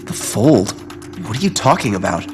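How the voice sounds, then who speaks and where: A man's voice: lowish voice, sing-song, normal speed. An actor playing a sarcastic, defiant young male superhero in a video game cutscene. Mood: wary. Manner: sarcastic, quippy. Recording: game music behind voice.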